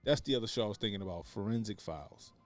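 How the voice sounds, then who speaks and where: A man hosting a stream, reacting to clips in casual, crude commentary. He laughs a lot and swings between mumbled asides and loud outbursts. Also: fairly low pitch, expressive, rapid-fire, soft background music. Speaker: stream host.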